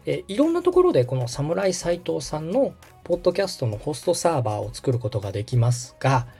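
Podcast tutorial host: mellow, slightly husky, explanatory, wide-moving intonation over background music. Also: medium pitch, very animated, quick pace. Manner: explanatory, checks the listener's understanding. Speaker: host and radio director giving a tutorial.

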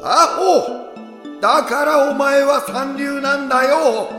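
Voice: high voice, slow pace. A performer voicing a haughty, scolding god in a voice drama, drawn out and exaggerated. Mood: scornful scolding. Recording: long hall reverb, loud music behind.

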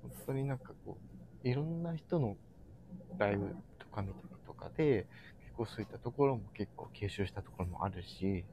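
A man with a somewhat low, creaky voice talks casually and earnestly to his listeners as a show host. He keeps pausing to find his words. There is some background noise on the live stream. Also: lively intonation, dark tone, poor audio. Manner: halting, searching for words.

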